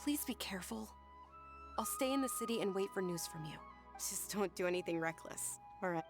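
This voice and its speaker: Woman playing a gentle, refined young noblewoman. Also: medium pitch, lively intonation, brisk, over music, natural voice. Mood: concerned.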